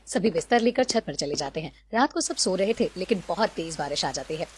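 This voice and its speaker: A woman's voice: on the low side, expressive, brisk, darkish tone, soft background music. A woman reading scripted narration for a cartoon family story.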